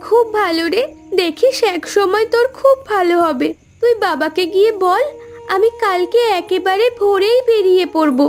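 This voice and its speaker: A woman playing a devoted, anxious, soft-hearted young married daughter in a cartoon, with an emotional, pleading way of talking. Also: extremely high pitch, moderate pace, soft background music. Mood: grateful, worried. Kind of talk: Bengali cartoon voice acting.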